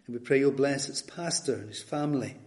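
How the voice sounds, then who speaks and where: A retired Scottish pastor in his later years, guest preaching, reading scripture and leading prayer in a measured, earnest way. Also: medium pitch, a little mellow. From Scotland. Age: older.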